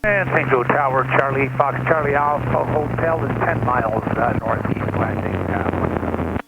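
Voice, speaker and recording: A man calls in as a pilot over aviation radio in a hesitant way. His mid-pitched voice has a fry to it, and loud noise swamps the dull signal. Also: unhurried. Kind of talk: radio call-ins.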